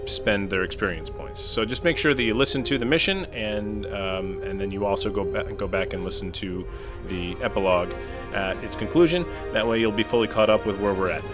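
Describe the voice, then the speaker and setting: Low-voiced man chatting over music. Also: fairly quick. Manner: joking asides, friendly chat. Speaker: podcast co-host. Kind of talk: casual podcast chat.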